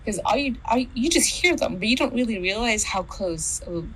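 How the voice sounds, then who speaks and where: A woman's voice: a little high, animated, brisk, fairly bright tone, slightly rough, low-quality recording. A woman co-hosting a podcast, speaking in casual chat with short reactions. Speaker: podcast co-host.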